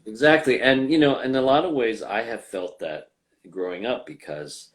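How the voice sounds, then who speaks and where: A man, a voice actor guest, talking casually with frequent pauses, fillers and restarts. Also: mid-range voice. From United States. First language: English. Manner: hesitant, many fillers and restarts. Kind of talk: casual conversational interview.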